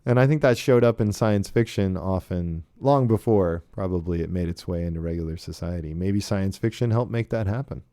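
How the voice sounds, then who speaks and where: A man with a low, mellow voice hosts a casual podcast discussion. He thinks aloud, hesitating and tagging his phrases with little check-ins. The sound is close and dry. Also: a little fast, hardly any pauses, no echo. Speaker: podcast host. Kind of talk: casual podcast discussion of old radio shows.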